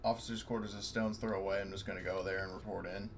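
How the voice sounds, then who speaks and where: A man's voice: sits low, level tone, quick pace, crowd noise. A man playing a tabletop game, rambling with lots of fillers and restarts. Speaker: player. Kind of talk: casual tabletop game chat.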